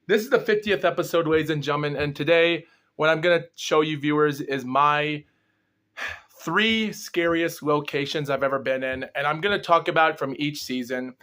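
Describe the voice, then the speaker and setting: A man's voice: on the high side, normal speed, fairly bright tone, clean tone. A young man hosts his own paranormal vlog, sounding groggy and under the weather. He rambles in long run-on stretches without stopping for breath, stumbling and restarting now and then. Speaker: host of a paranormal exploration series. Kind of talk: rambling solo vlog recap.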